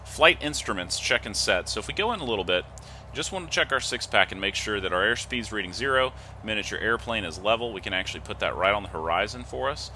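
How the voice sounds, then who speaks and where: A man's voice: on the low side, lively intonation, fast talker, bright, forceful tone, slight background noise. A man giving methodical, instructional step-by-step flight simulator commentary, reading off checklist items. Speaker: host of a flight simulator video series.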